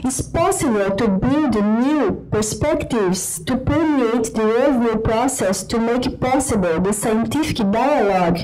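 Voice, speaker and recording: A Brazilian woman whose first language is Portuguese, a researcher reading a prepared talk to a conference panel in an earnest, deliberate way. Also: fairly high pitch, expressive, darkish tone, faint music behind, rough recording. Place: conference hall.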